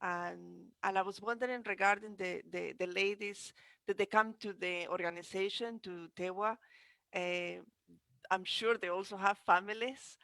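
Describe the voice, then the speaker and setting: A woman in her thirties co-hosting a webinar, haltingly phrasing audience questions into a headset. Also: medium pitch, fairly even intonation, creaky, a bit bright, dry recording. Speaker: co-host relaying audience questions. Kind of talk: webinar moderation, unscripted. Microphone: headset boom mic on video call.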